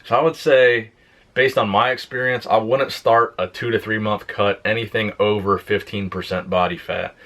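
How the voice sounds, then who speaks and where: A young man on a fitness channel, casually answering viewer questions in a plain, relaxed way. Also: lowish voice, moderate pace. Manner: relaxed, plain-spoken, reads questions aloud then answers. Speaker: fitness YouTuber. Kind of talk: casual Q and A vlog.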